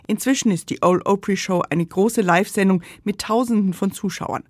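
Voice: medium pitch, a little fast, dry recording, clean studio sound. A narrator reading a scripted radio history feature.

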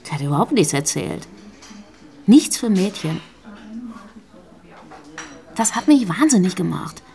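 An actress playing a bitter, grieving young pregnant girlfriend in a naturalistic radio drama, speaking haltingly and emotionally. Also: lowish voice, very animated, vocal fry, mellow, full character voice. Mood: guilty, distressed. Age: young adult.

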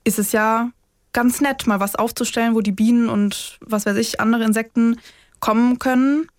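A woman chatting casually as a podcast co-host, informal and quick to laugh. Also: on the high side, fairly even intonation, no echo, studio quality. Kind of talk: casual chat between co-hosts.

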